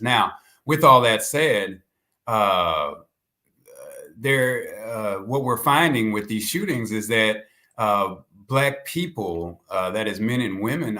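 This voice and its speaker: A man with a fairly low voice speaks as an academic guest in an interview. His delivery is conversational but deliberate, often doubling back on words as he builds an argument. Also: bright, forceful tone. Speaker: guest, history professor. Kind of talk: conversational expert commentary.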